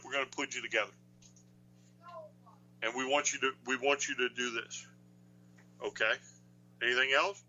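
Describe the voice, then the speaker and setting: Deep-voiced man with a bright edge. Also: dull audio. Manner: storytelling, wry, joking. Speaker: podcast co-host. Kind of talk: casual chat about wrestling.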